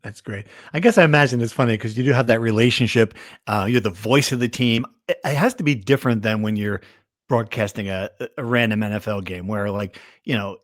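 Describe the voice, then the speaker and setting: A man's voice: on the low side, a little fast, no room sound. Male podcast host, dry close sound. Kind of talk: casual interview chat.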